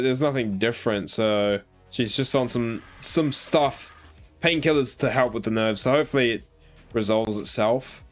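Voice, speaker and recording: A man with an Australian accent chatting casually on a live game stream, rambling with lots of fillers as he answers his chat. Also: mid-range voice. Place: home in New Zealand.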